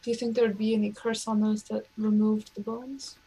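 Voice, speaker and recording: A woman's voice: medium pitch, flat delivery, a little fast, dark tone, breathy edge, low-quality recording. A woman playing a tabletop role-playing game, chatting casually and describing what her character does.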